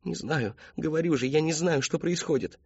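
A man voicing a frightened, tearful teenage daughter in an audiobook, pleading and halting in a thin, trembling voice. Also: a little high, a little mellow, slightly rough, no echo, muffled recording, voice pushed up. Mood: distressed.

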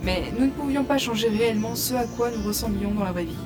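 Woman acting expressive young adventurer heroine, music behind. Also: fairly high pitch, fast talker, close to an everyday voice. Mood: absorbed, reflective. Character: curious, teasing young woman gamer, a novice ranger, narrating her adventure. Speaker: narrator and lead character. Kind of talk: first-person audio drama narration with dialogue. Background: prominent music.

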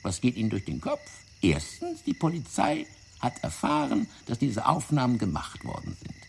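An actor in a German radio play playing a fat, wily, self-righteous French intelligence officer, explaining things in a theatrical, condescending way. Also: male voice, medium pitch, huge pitch swings, moderate pace, gravelly, a little hiss or hum. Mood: calculating, insistent. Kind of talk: German radio drama acting.

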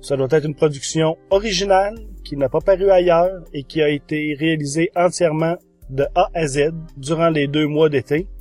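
Higher-pitched man hosting a podcast. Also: steady tone, normal speed, darkish tone, faint music behind. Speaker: podcast host. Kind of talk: podcast monologue reviewing audio shows.

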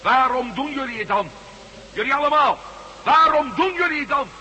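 An actor playing a defiant, sarcastic captive hero in a science-fiction radio drama. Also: male voice, extremely high pitch, moderate pace, bright, forceful tone, exaggerated voice. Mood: indignant. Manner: challenging, sarcastic. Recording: faintly noisy old radio recording.

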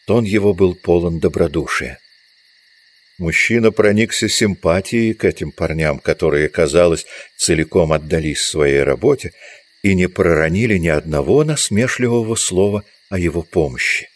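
A man's voice: deep voice, moderate pace. A man reading scripted literary narration as the narrator of an audio drama.

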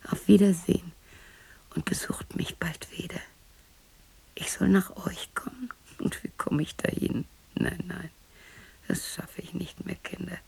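An actress in a radio drama playing a lonely, eccentric, defiant widow of about sixty who talks to herself theatrically in a husky, breathy voice. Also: female voice, lowish voice, steady tone, a little slow, long pauses, whispered, exaggerated voice. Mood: resigned, subdued. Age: 60s. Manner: theatrical, muttering to herself, swinging into shouts. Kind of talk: radio drama monologue acting.

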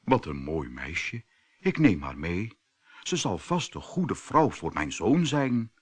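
A man's voice: sits low, sing-song, slow, slightly rough, no echo, heavily acted. A man voicing a fat, bossy, scheming mother toad in a children's fairy tale.

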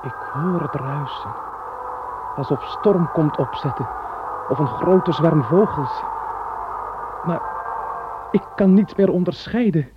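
A man playing a confused, humble man who speaks softly and hesitantly in an old radio play. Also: a little high, takes it slowly, long pauses, noisy background. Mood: bewildered. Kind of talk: 1960s Dutch radio drama. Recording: dull old radio recording with music.

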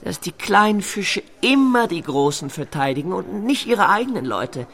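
An actor playing an insistent, menacing snoop with a grudge, in a naturalistic radio drama, formally polite and full of pointed questions. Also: male voice, high-pitched, big pitch swings, normal speed, vocal fry, a bit bright, exaggerated voice. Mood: bitter, threatening. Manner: formal address, pointed rhetorical questions, needling. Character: insistent, menacing snooping man with a grudge against big shots.

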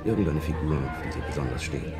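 Very deep-voiced, matter-of-fact detective. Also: male voice, expressive, quick pace. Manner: clipped, matter-of-fact delivery in short sentences. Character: weary male detective recounting a strange case, matter-of-fact. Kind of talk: 1970s German science-fiction radio drama acting. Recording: music bed under the voice.

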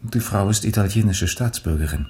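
Low-voiced, methodical police major played by an actor. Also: male voice, a little mellow, lightly acted. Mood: measured. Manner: deliberate, formal, matter-of-fact. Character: measured, methodical male police major investigating. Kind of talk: German radio crime drama acting.

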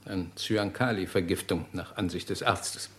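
Methodical detective inspector, vintage radio crime drama: lowish voice, measured, formal, probing. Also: male voice, fairly even intonation, a bit bright. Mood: composed. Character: composed, methodical male Scotland Yard detective inspector. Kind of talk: mid-century radio drama acting.

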